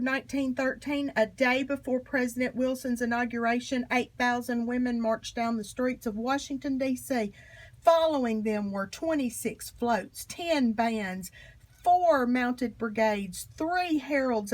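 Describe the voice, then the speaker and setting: A woman reading a children's history book aloud in an expressive, emphatic storytelling manner. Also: on the high side, low-quality recording. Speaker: storybook reader.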